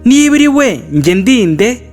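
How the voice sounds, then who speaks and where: Man doing a high-pitched, suspicious little goat kid. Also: big pitch swings, measured pace, a little mellow, soft background music, full character voice. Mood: challenging. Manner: challenging, mocking.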